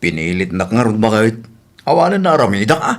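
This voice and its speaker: A man's voice: lowish voice, big pitch swings, a little fast. A man with an Ilocano accent playing an idle, grumbling husband who makes excuses in a radio comedy drama. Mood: defensive.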